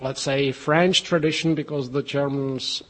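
A man speaking English with a Czech accent, a linguist heading a language institute, answering interview questions in a halting way with many pauses and restarts. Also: mid-range voice, fairly even intonation.

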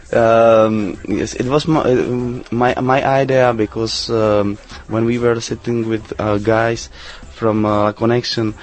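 A man answering interview questions in halting English, full of fillers and restarts. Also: medium pitch, steady tone. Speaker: interview guest, DJ.

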